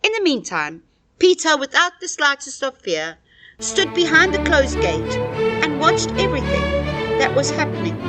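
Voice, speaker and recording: A woman narrating a scripted children's story in a dramatic, expressive storytelling manner. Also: on the high side. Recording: music behind the voice.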